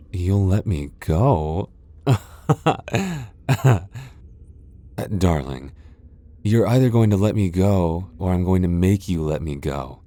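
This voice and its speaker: A proud vampire lord played by a man in an ASMR roleplay drama. His voice sits extremely low and has a dark, mellow tone. He comes across as a guarded loner, sardonic and haughty, reluctant to let anyone close. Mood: curt, defiant, then smug, threatening.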